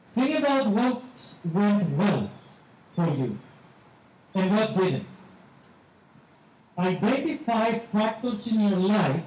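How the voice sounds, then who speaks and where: A man with a Greek accent giving a measured graduation speech as a teacher, repeating phrases for emphasis. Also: high voice, a little mellow. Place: large hall at a ceremony. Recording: echoey hall, distant mic, faint noise.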